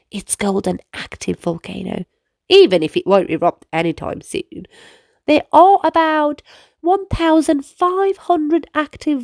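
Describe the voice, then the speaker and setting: A woman reading a children's book aloud in an expressive, deliberate storytelling manner. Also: sits high, creaky, dry recording, clean studio sound.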